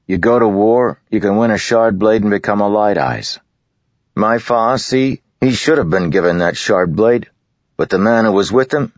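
A man reading aloud, voicing a big, stubborn, boastful boy who talks loudly in rough dialect. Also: lowish voice, dry recording, pitched above the performer's own voice. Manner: loud, insistent, dialect-speaking. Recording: dull lo-fi recording.

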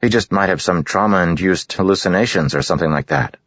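A man's voice: low-pitched, fairly quick, a bit bright, no echo, voice pushed up. A man reading aloud, voicing a clean, bookish, slightly awkward boy who explains things carefully. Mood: explaining. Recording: dull lo-fi recording.

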